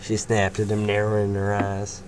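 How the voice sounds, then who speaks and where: Deep-voiced young man reading fanfic aloud. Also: monotone, moderate pace. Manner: stumbling, swearing, laughs at own slips. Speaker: fanfiction reader, narrator. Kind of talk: amateur fanfiction reading and banter. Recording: clear background noise.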